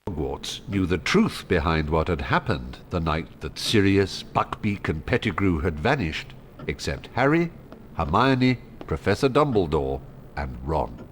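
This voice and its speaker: A man's voice: low voice, big pitch swings, unhurried, noisy background. A man playing a solemn storyteller, narrating a fantasy adventure game in a dramatic scripted style. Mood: solemn, suspenseful. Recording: loud orchestral game music behind. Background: dramatic music.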